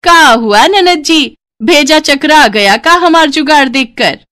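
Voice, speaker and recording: A woman's voice: sits high, lively intonation, takes it slowly, pushed and bright, pitched above the performer's own voice, heavily acted. A woman voicing a brash, cheeky young village daughter-in-law in a folksy, exclamatory way with a rustic Banaras accent, for a story. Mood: cocky.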